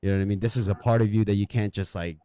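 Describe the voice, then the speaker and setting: A man's voice: on the low side, fairly even intonation, very fast, dark tone, clean tone. A man hosting a podcast, chatting casually in slangy, informal language as he interviews a guest. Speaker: podcast host.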